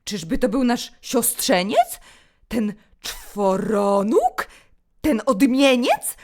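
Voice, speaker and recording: Woman doing a scandalized talking brush aunt in a kids tale, high pitched, drags out her exclamations. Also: sing-song, darkish tone, full character voice. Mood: terrified, astonished. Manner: exclamatory, drawn-out. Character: frightened, astonished chorus-like gossipy brush auntie. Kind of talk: children's storybook acting.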